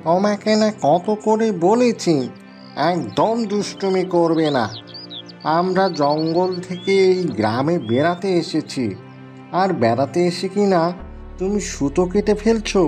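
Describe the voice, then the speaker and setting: A man's voice: high-pitched, animated, unhurried, darkish tone, soft background music. A man voicing a responsible father mouse, reproachful and concerned, in a cartoon. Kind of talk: animated cartoon voice acting.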